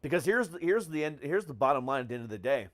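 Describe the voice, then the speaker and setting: A man's voice: a little high, sing-song, fast talker, a bit bright, dry recording. A man hosting a podcast in casual conversation, talking in an emphatic, slangy way with frequent swearing. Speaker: podcast host. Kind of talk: casual chat.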